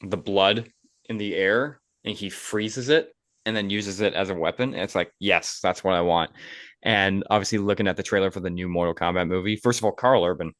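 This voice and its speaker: A man with a very deep voice hosts a casual film discussion. He sounds enthusiastic and opinionated, speaking conversationally. The recording is dry and close, with very little room sound. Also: normal speed, a bit bright, dry recording. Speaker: podcast host.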